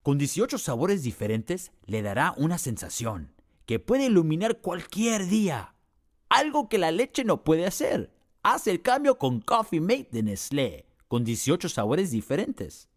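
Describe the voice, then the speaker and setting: A man's voice: a little high, very animated, normal speed. A man delivering an upbeat, scripted advertising voice-over as a voice actor.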